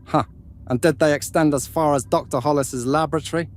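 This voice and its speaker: A man's voice: on the high side, steady tone, brisk, lightly acted. A man playing a courteous, inquisitive starship crew leader in an amateur sci-fi drama, with old-fashioned phrasing.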